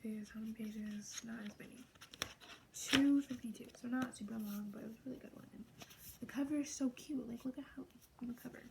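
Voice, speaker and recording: A teenage girl vlogging in a hushed, breathy half-whisper with a gravelly fry at the ends of her phrases. She gushes and sprinkles "like" through almost every sentence, in a fairly flat, even tone. The recording has a steady, clearly audible hiss underneath. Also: a little high, brisk. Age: late teens. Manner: soft, half-whispered, gushing, filled with "like". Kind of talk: casual fan vlog.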